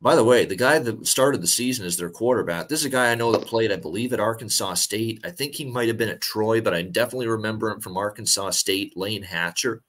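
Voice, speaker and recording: A young man with a fairly low voice breaks down a matchup over a video call. He talks steadily and freely, padding his points with filler words as he thinks aloud. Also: fast talker, hardly any pauses. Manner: steady, talkative, many "uh" fillers. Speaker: sports betting podcast co-host. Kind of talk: casual sports betting analysis. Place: home room, indoors.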